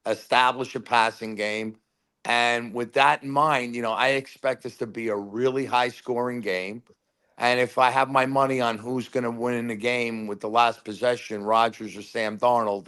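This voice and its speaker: A man calling in to a sports talk show, telling loud, rambling fan stories and giving his game predictions. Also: mid-range voice, clean tone. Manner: rambling, animated storyteller. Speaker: caller. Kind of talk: casual phone-in chat.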